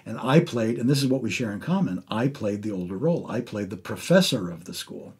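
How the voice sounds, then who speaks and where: A middle-aged man with a husky voice hosting a conversational interview in a studio. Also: lowish voice, expressive, fairly quick, a little mellow. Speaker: host and interviewer. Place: recording studio.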